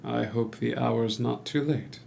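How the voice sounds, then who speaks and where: A man's voice: medium pitch, fairly even intonation, unhurried, vocal fry, darkish tone, a little hiss or hum. A man playing a charming, sociable young doctor in a musical theatre table read, with courteous, formal period diction. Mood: apologetic.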